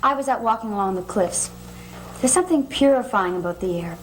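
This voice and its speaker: A woman's voice: fairly high pitch, expressive, brisk, slight background noise, lightly acted, poor audio. An actress playing a mysterious, aloof young woman with an enigmatic way of talking in a gothic television soap. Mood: cool, evasive. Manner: enigmatic, answers questions with cryptic remarks.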